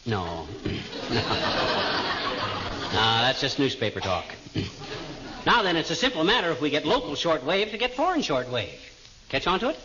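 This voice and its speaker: An actor playing a boastful, excitable American husband who fancies himself an inventor, in folksy 1940s radio comedy style. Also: male voice, on the high side, moderate pace, long pauses. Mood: eager, confident. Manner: bragging, folksy, animated. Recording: muffled, noisy old radio broadcast recording.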